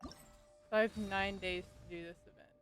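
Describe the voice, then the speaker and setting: A woman's voice: medium pitch, fairly even intonation, normal speed. A woman chatting casually and enthusiastically as she streams a game. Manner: gushing, enthusiastic. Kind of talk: casual livestream gaming chat. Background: game music.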